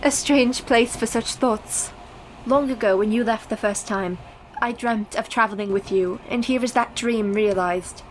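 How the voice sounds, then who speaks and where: A Victorian wife under a dark curse acted by a woman speaks in a high, measured voice. She is brave and formal in radio-play style, with faint ambience behind her. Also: a little hiss or hum, natural voice, low-quality recording. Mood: wistful.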